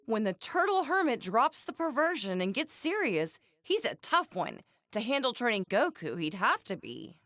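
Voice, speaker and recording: A woman's voice: a little high, big pitch swings, moderate pace, few pauses, fairly bright tone, dry recording, studio quality. A woman voicing a fussy, blunt, doting mother in an English anime game, with a dramatic, scolding manner. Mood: matter-of-fact.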